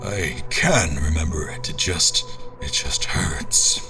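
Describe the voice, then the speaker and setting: A low-voiced actor playing a tormented man narrates a dark fantasy audio drama. He speaks slowly and theatrically, brooding and halting, as if recounting agony. Loud music runs beneath him. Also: heavily acted. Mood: pained, brooding. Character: tormented, vengeful man recounting his agonising ordeal. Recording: loud music bed under the voice.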